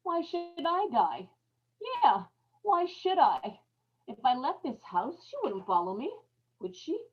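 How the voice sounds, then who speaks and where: A woman's voice: high-pitched, sing-song, slow pace, full character voice. A woman playing a terrified, guilt-ridden wife losing her grip, in old-time radio horror style, dramatic and obsessively repetitive. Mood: desperate, hurried. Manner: dramatic, haunted, repeating words obsessively. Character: terrified, unraveling young farm wife, guilt-ridden and paranoid. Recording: dull lo-fi live recording with background noise.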